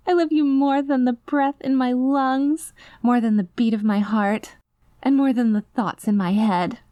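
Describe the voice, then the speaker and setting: A woman's voice: high voice, measured pace, warm tone, no room sound. A woman playing an obsessive, clingy, doting girlfriend in an ASMR roleplay, rambling and gushing in an anxious, lovestruck way. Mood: adoring, giddy. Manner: gushing, rambling, with nervous giggles and breathy asides. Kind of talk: ASMR roleplay, acted voicemail monologue.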